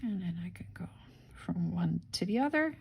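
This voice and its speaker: A woman's voice: fairly low pitch, expressive, normal speed, gravelly, a little mellow, breathy edge. A woman hosting a casual craft-along, unhurriedly thinking aloud and murmuring to herself as she works. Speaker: craft tutorial host.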